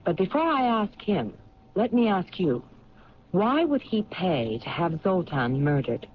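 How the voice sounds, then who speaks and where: An actor playing a patient, probing homicide detective with an American accent and measured, hesitant questioning, in 1970s radio drama style. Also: male voice, high-pitched, sing-song. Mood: earnest, probing. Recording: dull, noisy old radio recording.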